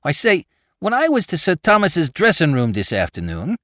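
A man voicing an excitable, slow-witted male crook from the Bowery who talks in tough street slang. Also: fairly high pitch, dry recording, studio quality, pitched above the performer's own voice. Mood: excited.

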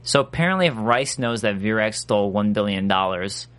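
A man with a nasal voice, co-hosting a casual recap chat, quick to jump into quoted voices. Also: on the low side, expressive, fairly bright tone. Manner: chatty, breaks into quoted voices. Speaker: podcast co-host.

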